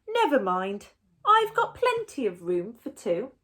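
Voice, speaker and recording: Kind storybook hut-dweller, a man played by a woman: high, lively, obliging, given to flustered exclamations. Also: unhurried, full character voice. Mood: kindly, concerned. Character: kindly, hospitable man in a park hut, gently flustered.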